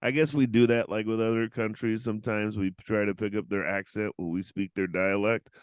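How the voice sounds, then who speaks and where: A man's voice: lowish voice, steady tone, brisk, clear, resonant tone, no room sound. A man hosting a casual podcast, joking around, teasing his guest with crude insults and laughing often. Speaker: podcast host.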